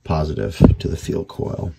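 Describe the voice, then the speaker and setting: A man giving casual hands-on repair commentary as a hobbyist, thinking aloud unhurriedly in short, halting phrases. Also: heavy background noise. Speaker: hobbyist radio repairer, video host. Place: indoor workbench.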